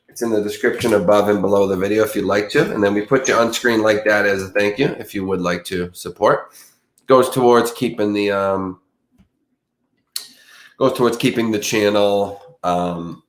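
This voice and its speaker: A man's voice: lowish voice, roomy sound. A man hosting a casual true crime livestream, rambling with many fillers and restarts, a little congested from allergies. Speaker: true crime channel host. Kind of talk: casual livestream chat with viewers.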